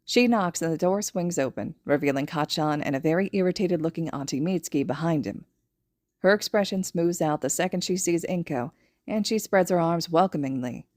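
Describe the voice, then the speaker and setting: A woman's voice: lowish voice, brisk, darkish tone, no room sound, clean studio sound. A woman reading a story aloud as a scripted podfic narration.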